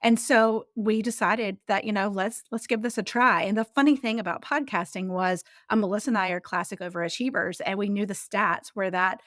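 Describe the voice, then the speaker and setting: A woman's voice: medium pitch, fairly even intonation, brisk, few pauses, a bit bright, no room sound, studio quality. A woman in her forties, a business coach guesting on a podcast, telling stories in a chatty way with frequent laughs. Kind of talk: casual interview chat.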